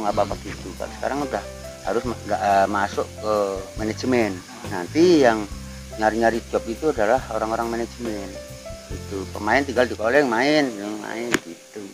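A man's voice: on the low side, rough recording. An older man with a Ngapak Javanese accent, a veteran actor chatting as a guest in a rambling, musing way with frequent chuckles. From Banyumas region, Central Java. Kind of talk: casual reflective chat. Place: outdoor garden table at night.

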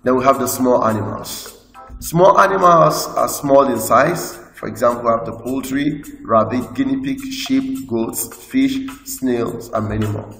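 A man with a Nigerian English accent, teaching a school lesson by slowly reading out lists from slides. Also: mid-range voice, gravelly, a bit bright. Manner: slow, list-like reading with explanations. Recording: echoey room with long reverb, faint music.

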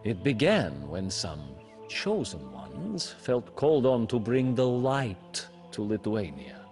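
A man narrates a game's storybook campaign in a slow, unhurried studio voice-over. His delivery is grave and measured, with a lively, swinging pitch that leans hard on dramatic moments. Faint music plays beneath him. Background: faint music score.

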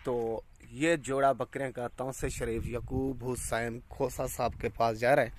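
A man's voice: mid-range voice, little pitch movement, measured pace, a little hiss or hum. Goat farm owner, 30s, salesman's patter, phone camera recording outdoors. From Pakistan. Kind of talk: casual vlog narration. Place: outdoors on a farm, village road.

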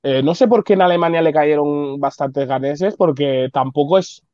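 A man's voice: fairly high pitch, moderate pace, no room sound, dull audio. A man in his twenties from Spain, with a Barcelona-area Spanish accent, chatting casually as a guest on a video call, full of slang and fillers. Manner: slangy, relaxed, many fillers. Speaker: guest rapper. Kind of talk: casual interview chat. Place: indoor bright room. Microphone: video call webcam.

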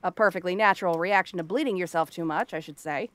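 A woman's voice: lowish voice, fast talker, lightly acted. A woman playing a cranky exiled princess turned frog girl in a fantasy ASMR roleplay, haughty, sarcastic and theatrical. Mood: defensive, haughty. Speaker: voice actress.

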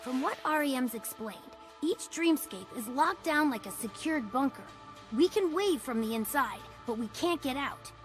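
High-voiced, clever young fox boy. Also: animated, normal speed. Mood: earnest. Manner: earnest, explanatory. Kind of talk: animated video game cutscene acting. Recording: music under the voice.

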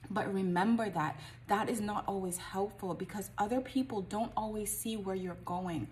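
A woman's voice: mid-range voice, normal speed, a little mellow, a little hiss or hum. A woman in her forties, a life coach, giving an earnest, encouraging talk straight to the camera from a small home office and asking rhetorical questions. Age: 40s.

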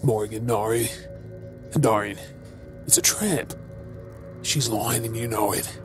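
Audio drama hero, male actor: wounded, protective werewolf, earnest strained delivery, unhurried, over clear background music. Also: fairly low pitch, fairly even intonation, close to an everyday voice. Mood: defiant, alarmed. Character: battered, defiant werewolf boyfriend, fiercely protective. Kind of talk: audio roleplay drama acting.

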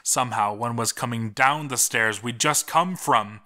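Give the story a doomed male dwarf played by a man, bitter and sardonic, slowly losing his mind. He delivers his journal dramatically in a forceful, bright voice, cursing as he goes. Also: medium pitch, natural voice. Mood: grim, bitter. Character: doomed male dwarf expedition diarist, bitter, cracking into madness.

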